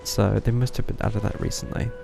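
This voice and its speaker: A young man streaming a game, commenting casually in a low-key, musing way. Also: little pitch movement, fairly quick, creaky. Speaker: live streamer. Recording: game music behind the voice.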